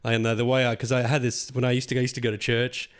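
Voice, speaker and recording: A man with an ordinary mid-range voice, hosting a relaxed podcast conversation. He tells stories in a chatty, rambling way, stopping and restarting his sentences as he goes. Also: mid-range voice, quick pace, clear, resonant tone. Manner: chatty, restarts a lot, laughs at own stories. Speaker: podcast host and acting teacher. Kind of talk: casual podcast chat.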